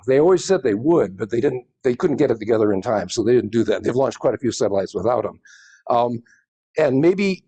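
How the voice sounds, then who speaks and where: Husky-voiced man giving an informal presentation. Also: mid-range voice, dark tone. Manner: rambling, explanatory, hedging asides. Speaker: presenter at a space society meeting.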